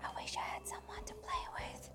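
A woman voicing Benji, a gentle, clever new toy in a children's storybook, in a soft, earnest way. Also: fairly quick, in a whisper, music in the background, lightly acted. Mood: lonely, whispering.

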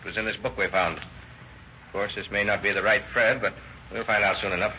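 An actor playing a dogged police detective with a clipped, probing, matter-of-fact delivery in an old radio drama. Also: male voice, lowish voice, fairly even intonation, brisk, bright, forceful tone. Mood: brisk, businesslike. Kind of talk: 1940s radio acting. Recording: noisy lo-fi old radio recording.

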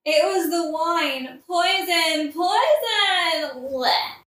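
A woman's voice: very high voice, animated, very slow, fairly bright tone, far from the mic, full character voice. A woman playing a poisoned, melodramatic queen and doting mother, wailing as she dies in a comedic audio play. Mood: melodramatic, alarmed. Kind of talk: modern-language comedic audio play acting. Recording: echoey room, off the mic.

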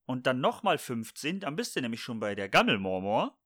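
Male co-host, mid-pitched, playful rambler, dry close recording. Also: big pitch swings, a little fast, fairly bright tone, no room sound. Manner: playful, jokey, rambling with many restarts. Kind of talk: casual chat.

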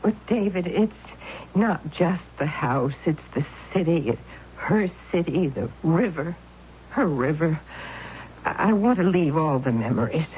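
An anxious devoted aunt. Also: female voice, low voice, expressive, a little slow. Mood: earnest. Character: devoted worried middle-aged aunt. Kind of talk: 1970s radio drama acting. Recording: dull old radio broadcast with faint hiss.

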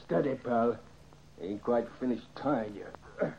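Male gang thug, American, croaky and rough, slangy, vintage radio drama with dull sound. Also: mid-range voice, slow pace. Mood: gruff. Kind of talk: old-time radio crime drama acting. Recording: muffled, hissy old radio recording.

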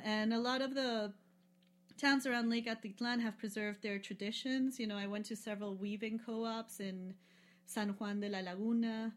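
A woman chatting casually as a podcast guest, loose and rambling, with many fillers and frequent laughs. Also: medium pitch. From lives in Peru. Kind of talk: casual travel chat.

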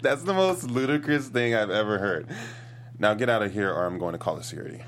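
A man playing a nervous, easily indignant government clerk with a halting, stammering delivery at a script table read. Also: on the low side, noisy background, lightly acted. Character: flustered, nervous male government desk clerk who turns indignant.

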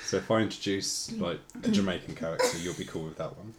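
A man co-hosting a casual podcast, playful, teasing and excitable. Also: low-pitched, fast, crowd noise. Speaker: podcast co-host. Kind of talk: casual chat.

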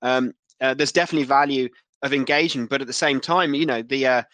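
A man hosting a casual interview, halting and repeating words as he forms his thoughts. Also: medium pitch, fairly even intonation, a bit bright, no room sound. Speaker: podcast host.

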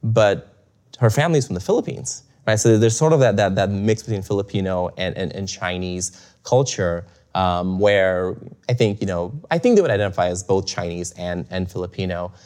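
A man's voice: low-pitched, lively intonation, normal speed, darkish tone. A young adult man who grew up in Bulgaria, talking as an interview guest in a reflective way, with many fillers and restarts and frequent chuckles. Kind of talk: casual conversational interview.

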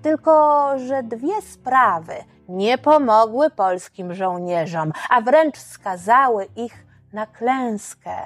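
A woman's voice: a little high, lively intonation, slow pace, faint music behind. A woman narrating history for children in a dramatic, explanatory storytelling style, speaking directly to listeners. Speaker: podcast narrator.

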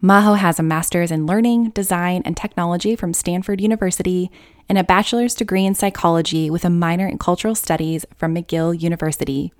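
Woman podcast host with a lowish voice. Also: a little fast, dry recording, clean studio sound. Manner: warm gushing intro read, then chatty and relaxed. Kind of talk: scripted intro then casual interview. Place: home, video call.

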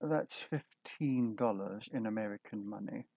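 A man's voice: on the low side, measured pace, gravelly, mellow, dry recording. A man acting a prim, flustered hotel desk clerk in a radio play, deferential and fussy. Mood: stiffly deferential. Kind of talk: old-time radio drama acting.

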